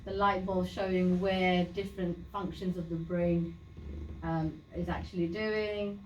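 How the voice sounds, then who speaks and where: A woman from Bangladesh whose first language is Sylheti talks to a community group in a chatty, plain way, restarting words and filling pauses as she explains. Her voice sits fairly low. She is heard from a distance in an echoey meeting room with faint background noise. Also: level tone, a little mellow, lo-fi sound. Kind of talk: informal awareness talk to a group.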